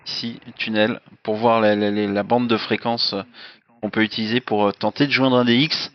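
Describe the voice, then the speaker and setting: Casting: male radio amateur, lowish pitch, unscripted and halting delivery, muffled lo-fi sound. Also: fairly even intonation. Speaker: amateur radio operator and streamer. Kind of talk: casual unscripted radio contact. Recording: dull, band-limited audio.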